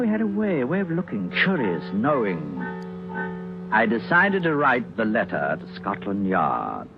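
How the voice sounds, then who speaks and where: Casting: fussy British poisoner-doctor in vintage radio drama, very animated intonation, dull old recording with music. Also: male voice, lowish voice. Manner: hesitant, muttering, falsely genial. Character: fussy, secretive murderous doctor narrating his confession.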